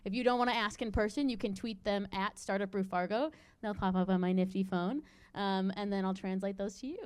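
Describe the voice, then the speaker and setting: A woman's voice: medium pitch, steady tone, fairly quick, clear, resonant tone, dry recording. Bubbly woman hosting a live Q&A. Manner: bubbly, chatty, self-deprecating. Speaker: event host.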